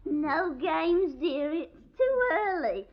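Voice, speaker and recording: An actress playing a brazen, evasive lower-class woman of the 1740s in a radio drama, coy and mock-innocent. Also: animated, slow pace, heavily acted. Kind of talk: 1950s radio drama acting. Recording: dull 1950s radio recording, faint noise, clicks.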